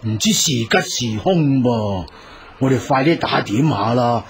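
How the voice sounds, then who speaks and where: An actor playing an anxious, chatty travelling companion in a radio drama, with colloquial Hong Kong Cantonese speech full of exclamations. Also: male voice, mid-range voice, lively intonation, measured pace, a loud crowd, natural voice. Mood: worried.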